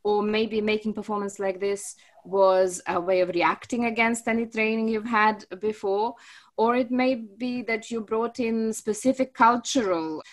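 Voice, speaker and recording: A woman's voice: medium pitch, steady tone, unhurried. A woman interviewing theatre makers, asking long, winding questions with fillers. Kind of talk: discussion interview hosting.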